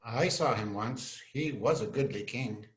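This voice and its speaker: An earnest young scholar and loyal friend to a prince, read by an amateur male actor, speaking in a mid-range voice. He delivers Shakespearean verse in a measured, respectful way, as in a staged reading. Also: mid-range voice, close to an everyday voice, rough recording. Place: indoors, on a video call. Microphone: video call.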